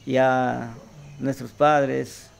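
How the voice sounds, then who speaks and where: Local Peruvian man, heavy vocal fry, halting speech, faint birds and insects behind him. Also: medium pitch, flat delivery, a little hiss or hum. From Peruvian Amazon. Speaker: local resident giving testimony. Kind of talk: casual spoken testimony.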